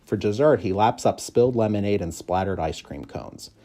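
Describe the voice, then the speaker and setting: A man reading a children's story aloud in expressive, measured, clearly phrased narration. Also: on the low side. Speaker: audiobook reader, school staff member.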